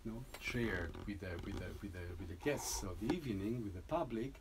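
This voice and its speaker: Man explaining his piece haltingly. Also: fairly low pitch, lively intonation, noisy background. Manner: halting, many restarts and tag "no?". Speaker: composer presenting a piece. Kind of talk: informal talk to an audience.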